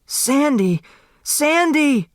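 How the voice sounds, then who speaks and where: A man's voice: very high-pitched, lively intonation, very slow, no echo, studio quality, pitched above the performer's own voice, exaggerated voice. A man voicing a distraught, tender young girl in an audiobook, pleading and emotional. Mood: screaming, desperate.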